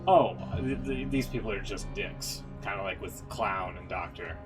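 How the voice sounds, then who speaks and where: Low-voiced man rambling on a livestream. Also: normal speed, distant mic, over music, poor audio. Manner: rambling, hesitant, with trailing asides. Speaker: streamer reacting to game story readings. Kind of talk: casual livestream commentary.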